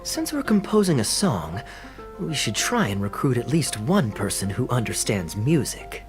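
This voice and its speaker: A man's voice: medium pitch, sing-song, moderate pace, over music, natural voice. A man voicing a friendly, earnest organizer in a fantasy game, upbeat and persuasive.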